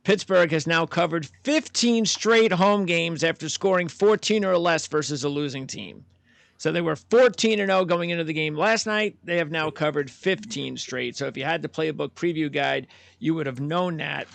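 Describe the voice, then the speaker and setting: Gravelly-voiced man, bone-dry recording. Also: on the high side, fairly bright tone, dry recording. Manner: upbeat, fills pauses with uh and um. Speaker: producer. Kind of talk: podcast segment, plugs and betting trends.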